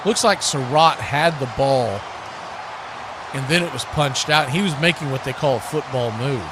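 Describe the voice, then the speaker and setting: A man's voice: medium pitch, normal speed, a crowd in the background. A man calling live football play-by-play as a radio announcer. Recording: clearly noisy background hiss and ambience.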